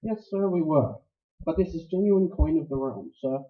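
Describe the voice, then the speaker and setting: Casting: male actor as suave older villain for radio noir, florid and deliberate, higher-set male voice, roomy sound. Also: close to an everyday voice. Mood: courtly. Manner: pompous, florid, deliberate politeness. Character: suave, courtly, ruthless older criminal mastermind. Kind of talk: staged radio play acting, 1940s noir. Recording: roomy, dull live stage recording.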